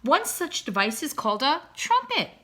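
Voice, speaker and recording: A woman's voice: sits high, very animated, normal speed, sharp tone, voice pushed up, exaggerated voice. A woman voicing a wordy father swan from a children's storybook, kindly and encouraging, speaking in a formal, emphatic, oratorical way. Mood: solemn, promising. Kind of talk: children's storybook read-aloud.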